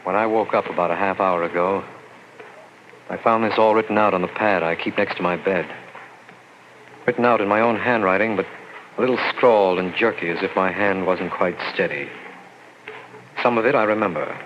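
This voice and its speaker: A man's voice: low voice, normal speed, pauses often, lightly acted. An actor playing a shaken man who narrates his ordeal in tense first person, in 1940s radio drama style. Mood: haunted. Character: shaken male narrator-protagonist. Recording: dull old radio recording with loud noise.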